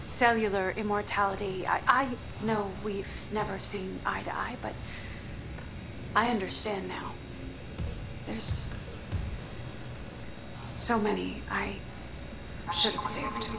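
A woman playing an earnest, desperate doctor in a video game, halting and intense in her speech. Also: medium pitch, fairly even intonation, vocal fry, noisy background. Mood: earnest, pleading. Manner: halting, intense, hushed at times. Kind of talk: dramatic video game acting.

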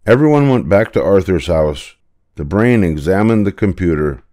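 A man's voice: low-pitched, expressive, normal speed, warm tone. An older man reading a children's picture book aloud as narrator. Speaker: storybook reader.

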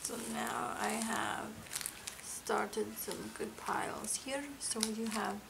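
Monster doll girl played by a young woman: higher-pitched, very unhurried, murmured ASMR with whispers and a fake foreign accent. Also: little pitch movement, full character voice. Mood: soft, focused. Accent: put-on foreign accent. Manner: soft-spoken, murmuring, lapsing into whispers. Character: cartoon monster doll girl, soft, self-cheering, childlike. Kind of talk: ASMR roleplay, unhurried sorting narration. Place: indoor living room floor, home. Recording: clearly noisy, hissy home recording. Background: loud hiss, crinkling wrappers, clicks.

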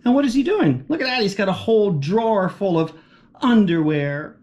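A man's voice: high voice, normal speed, lo-fi sound. A man reading a children's book aloud, asking the young listeners questions along the way. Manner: animated, asks children questions. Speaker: children's story reader.

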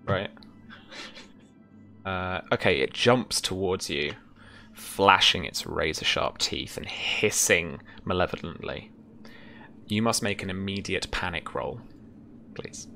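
A low, bright-voiced man runs a horror roleplaying game as game master. He describes scenes in halting, improvised phrases, with faint noise behind him on an online call. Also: expressive, gravelly. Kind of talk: improvised tabletop horror narration.

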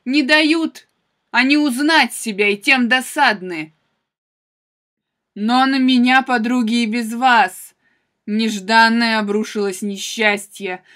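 A woman acting a betrayed, exiled wife of Greek tragedy, proud, bitter and vengeful. The voice is fairly high and piercingly bright, declaiming at a slow, measured pace with theatrical intensity. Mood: proud, reproachful, then anguished. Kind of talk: theatrical classical tragedy monologue.

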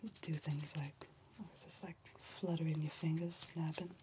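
Woman acting as deadpan young backwoods woman, lowish voice, hushed and halting, ASMR crime drama, noisy room ambience. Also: close to an everyday voice. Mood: soothing, hesitant. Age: young adult. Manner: hushed, halting, often whispering. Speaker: ASMR roleplay performer. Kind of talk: ASMR roleplay, soft-spoken drama. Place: dim indoor room, seen at a bar counter. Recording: noticeable background noise, room ambience.

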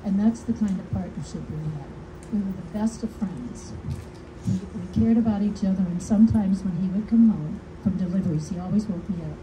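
An older woman with a deep voice giving a heartfelt dedication speech outdoors. She tells warm personal stories with gentle humor. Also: brisk, noisy background. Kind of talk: heartfelt public speech at a podium. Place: outdoors under a canopy, small crowd. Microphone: podium mic heard through speakers, recorded from the audience. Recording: distant speaker through PA with outdoor ambience.

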